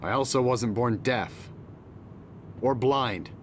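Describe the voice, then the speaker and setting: A man's voice: medium pitch, slow, slight background noise, natural voice. A man playing a curt, by-the-book senior federal agent in a video game drama. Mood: curt, dismissive. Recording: loud music over the voice.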